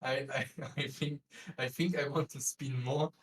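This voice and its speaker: A man's voice: medium pitch, fairly even intonation, a little slow, lightly acted. A man playing a cheeky impostor pretending to be a racing driver, giggling and stammering over a voice call. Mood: goofy.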